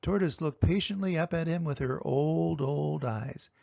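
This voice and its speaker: An older man reading a children's story aloud with expressive, dramatic emphasis. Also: on the high side, a little slow, creaky, dry recording, muffled recording. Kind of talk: animated children's storybook narration.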